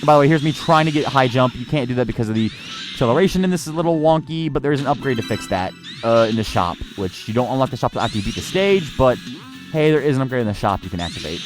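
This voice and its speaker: A man from the United States reviewing a video game in casual, rambling, halting, sweary unscripted commentary. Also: mid-range voice, lively intonation. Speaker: gaming reviewer. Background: game music.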